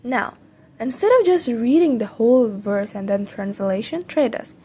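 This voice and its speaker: A woman's voice: expressive, normal speed, slightly rough. Chatty young woman, native Persian speaker. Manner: upbeat and chatty, with sudden bright lifts in pitch. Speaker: vlogger teaching Quran study tips. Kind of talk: casual instructional vlog.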